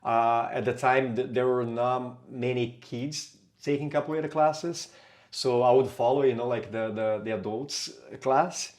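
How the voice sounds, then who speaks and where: Male guest, Brazilian accent, mid-pitched, hesitant and chatty, Portuguese native. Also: fairly even intonation, a little slow, a little mellow. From Brazil, São Paulo. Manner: hesitant, many fillers and restarts. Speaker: capoeira instructor, podcast guest. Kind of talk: casual interview talk.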